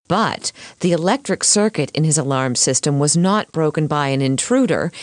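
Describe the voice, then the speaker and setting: Low-voiced earnest cartoon lawyer. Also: female voice, a little mellow, dry recording.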